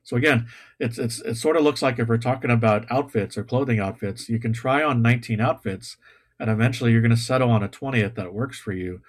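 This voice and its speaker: A man giving a sermon to his congregation over a video call, relaxed and self-deprecating, with pop-culture asides. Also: mid-range voice, fairly quick. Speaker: pastor. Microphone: video call or livestream.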